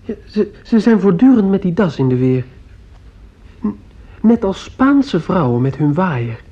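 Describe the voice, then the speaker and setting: An earnest fifteen-year-old boy, feverish and dreamy. His speech is slow and hesitant, with a husky catch. It sounds like a dull old radio play recording. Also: high-pitched, sing-song, mellow, exaggerated voice. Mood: earnest, puzzled. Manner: hesitant, groping for words. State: ill, sore throat.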